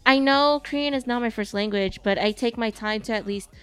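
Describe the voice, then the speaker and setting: A woman's voice: on the high side, brisk, clear, resonant tone. A woman chatting casually on a podcast, rambling with many fillers and restarts. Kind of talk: casual chat.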